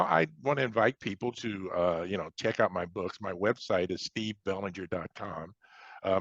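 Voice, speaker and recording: Low-voiced American man, dull sound. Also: no echo. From Chicago, United States. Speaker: science fiction author, interview guest. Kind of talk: casual interview chat.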